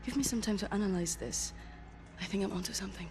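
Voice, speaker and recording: A woman playing a serious, methodical resistance researcher giving a measured briefing in a video game. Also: medium pitch, lightly acted. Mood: serious, intent. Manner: measured, explanatory. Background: music.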